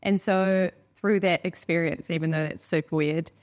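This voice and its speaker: A woman's voice: lowish voice, steady tone, normal speed. A woman from New Zealand with a New Zealand accent, chatting informally and in a rambling, anecdotal way as an interview host. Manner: informal, rambling, acts out quoted dialogue. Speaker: podcast host. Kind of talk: casual chat, anecdotal.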